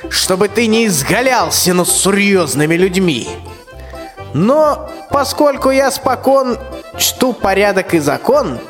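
A man's voice: high voice, exaggerated voice. Guy playing bossy old tsar in a radio fairy tale, voice swoops around, big hall reverb with music behind. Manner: pompous, colloquial rhymed speech. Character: blustering, threatening, tyrannical old tsar. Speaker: actor in a radio play.

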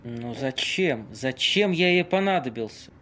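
An actor in an amateur audio play playing Casanova as a worldly, theatrical Venetian seducer who tells things with weighty pauses. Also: male voice, medium pitch, animated, fairly bright tone. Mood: haughty, intrigued. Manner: dramatic storytelling with weighty pauses.

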